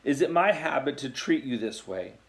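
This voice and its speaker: A man's voice: mid-range voice, lively intonation, normal speed, vocal fry. An American man voicing a long-suffering female donkey who questions her master in a Bible story. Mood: plaintive.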